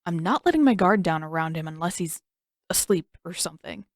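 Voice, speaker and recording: A woman's voice: lowish voice, lively intonation, fairly quick, no room sound, clean studio sound. A woman voicing a sarcastic, worried teenage brother who grumbles and complains. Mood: grumbling. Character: young teen boy, sarcastic worried brother.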